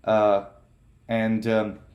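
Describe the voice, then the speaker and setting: A man's voice: fairly low pitch, monotone, extremely slow, dull audio. A man in his twenties from Palestine, telling his life story casually with many fillers and restarts. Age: 20s. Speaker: vlogger.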